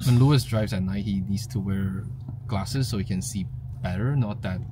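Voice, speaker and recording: A man's voice: fairly low pitch, moderate pace. A man in his twenties chatting casually to a handheld camera as he vlogs. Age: 20s. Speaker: vlogger. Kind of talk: casual vlog chat to camera. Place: outdoors, in a car and in a shop. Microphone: handheld vlog camera at arm's length. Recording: noisy street and car ambience.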